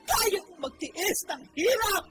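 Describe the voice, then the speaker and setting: A woman's voice: very high-pitched, animated, slow pace, noisy background, full character voice. A woman playing an anguished, upright mother in a Filipino radio drama, with a Filipino accent. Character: anguished, upright mother scolding her child.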